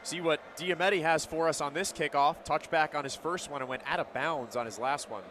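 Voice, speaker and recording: A man's voice: on the high side, a little fast. A man gives color commentary on a live football radio broadcast. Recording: live stadium broadcast with noisy background. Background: stadium ambience.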